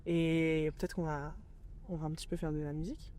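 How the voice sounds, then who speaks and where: A woman's voice: deep voice, level tone, fairly quick, dark tone. A twenty-year-old French woman hosting a casual, chatty podcast with friends, full of slang and questions, recorded in a car. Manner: chatty, informal, asks lots of questions. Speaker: podcast host. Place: inside a car on the road. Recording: clearly noisy moving car.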